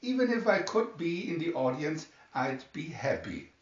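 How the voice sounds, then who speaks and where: A man's voice: fairly high pitch, very animated, moderate pace. Man playing an eager young pilot, roomy sound. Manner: enthusiastic, wishful. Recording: roomy, distant, slightly dull home recording.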